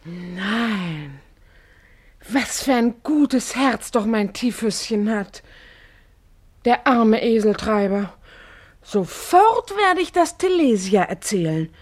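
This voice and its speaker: An actress in a German radio play voicing a clingy, romantic maidservant who coos and sighs sentimentally. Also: female voice, medium pitch, sing-song, slow pace, darkish tone. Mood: tender. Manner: cooing, sighing, sentimental. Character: clingy, adoring, romantic plump blonde maidservant.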